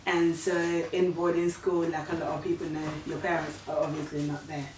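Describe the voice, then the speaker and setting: Woman in her 30s, from Uganda, soft-spoken, hesitant, lowish voice, far from mic. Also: level tone, fairly quick. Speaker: guest. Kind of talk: casual storytelling. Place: living room, sitting on a sofa. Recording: echoey room, far from mic, faint hiss.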